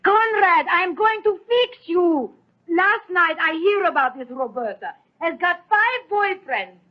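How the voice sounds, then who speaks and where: An actress playing an eager, plain-spoken immigrant housekeeper with a foreign accent in a radio soap. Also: female voice, very high voice, expressive. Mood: scolding. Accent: foreign, non-native English. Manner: halting, broken English, earnest. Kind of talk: 1930s radio soap opera acting. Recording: muffled old radio recording.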